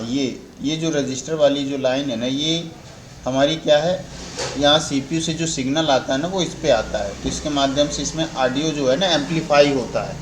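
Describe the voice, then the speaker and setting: An Indian man giving an informal repair tutorial as a technician, explaining step by step and often repeating and rephrasing his points. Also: medium pitch. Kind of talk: informal spoken repair walkthrough. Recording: roomy echo, faint background noise.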